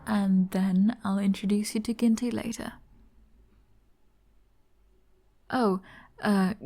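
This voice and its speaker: Woman playing a coy, slow-talking flirty arbiter bartender. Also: mid-range voice. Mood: playful, chatty. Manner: coy, soft-spoken, explaining patiently. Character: flirty, gentle female arbiter bartender, a humanlike dummy. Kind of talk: ASMR roleplay acting.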